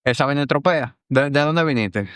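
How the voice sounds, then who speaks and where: A man's voice: medium pitch, normal speed, clean tone, no echo. A man with a Dominican accent hosting a podcast, chatting casually with slangy, conversational phrasing. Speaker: podcast host. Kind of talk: casual interview chat.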